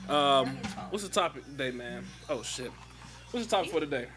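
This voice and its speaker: A man hosting a casual podcast among friends, talking loud, joking and swearing in slang. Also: medium pitch, lively intonation, normal speed, music in the background. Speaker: podcast host.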